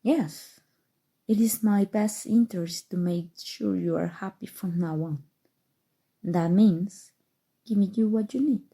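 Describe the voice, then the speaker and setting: A woman playing a possessive evil sorceress in an ASMR roleplay, speaking softly and tenderly with a breathy voice that often drops to whispers. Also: medium pitch. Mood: soothing, seductive. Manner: slow, soothing, deliberate, sinister affection. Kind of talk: ASMR roleplay, intimate scripted monologue.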